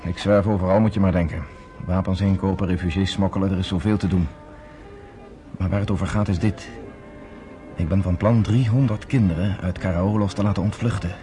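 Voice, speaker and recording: An actor playing a confident, courteous underground leader in a radio play, speaking in a measured, formal way. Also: male voice, sits low, fairly even intonation, warm tone, faint music behind, lightly acted. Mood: calm, scheming. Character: confident, persuasive underground leader, former army captain, courteous.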